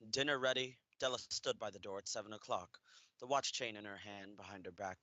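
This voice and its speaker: A man's voice: on the low side, brisk, sharp tone, no room sound, highs cut off. A young man playing an earnest storyteller who narrates a tender radio play.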